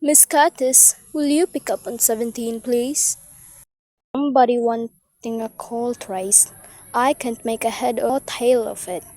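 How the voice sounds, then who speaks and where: A woman's voice: a little high, steady tone, unhurried, mellow, no echo, natural voice. A woman playing an officious, skeptical telephone supervisor in an amateur audio drama. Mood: brisk. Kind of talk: amateur radio-play acting.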